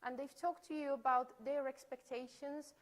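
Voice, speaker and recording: A woman with a fairly high voice leads a study skills workshop for new students. She explains in a friendly, step-by-step way, with frequent fillers between points. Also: level tone, moderate pace. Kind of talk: workshop presentation. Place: lecture room.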